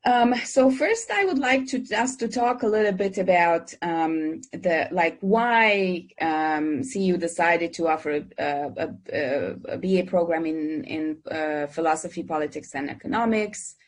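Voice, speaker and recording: A woman's voice: fairly low pitch, unhurried, few pauses. A woman moderating an online webinar, who introduces speakers and asks questions hesitantly, with many fillers and restarts, over a video call. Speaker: moderator, dean.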